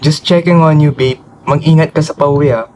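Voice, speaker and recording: A man's voice: fairly high pitch, steady tone, moderate pace, darkish tone, slight background noise, lightly acted, low-quality recording. A man playing an earnest, protective and defiant young man in a radio drama. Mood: caring.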